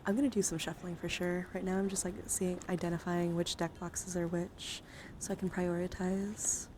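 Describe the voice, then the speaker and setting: Soft, whispery woman streaming ASMR, noisy background. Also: fairly low pitch, little pitch movement, moderate pace. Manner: soft-spoken, often slipping into whispers. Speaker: streamer, host of a live card-collection stream. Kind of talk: ASMR, casual live show-and-tell with chat. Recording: clear background noise and handling sounds.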